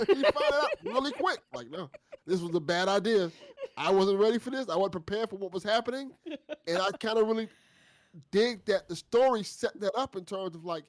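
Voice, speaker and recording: High-pitched, lively man in casual discussion. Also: normal speed, dry recording. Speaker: panelist on a fiction after-show.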